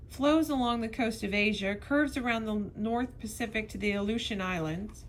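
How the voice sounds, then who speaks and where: A woman's voice: medium pitch, slight background noise. A woman narrating a classic novel aloud expressively, now and then stumbling over a word. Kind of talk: read-aloud storybook narration.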